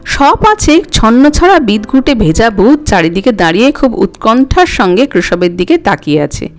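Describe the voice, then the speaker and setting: Animated, high-voiced woman storyteller. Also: normal speed, soft background music. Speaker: audiobook narrator. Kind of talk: scripted story narration.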